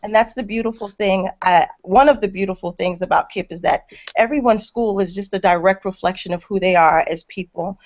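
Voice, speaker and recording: A woman's voice: mid-range voice, a little fast, a little mellow. A woman school leader from Brooklyn, New York, speaking passionately and emphatically in a panel chat. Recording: dull lo-fi sound.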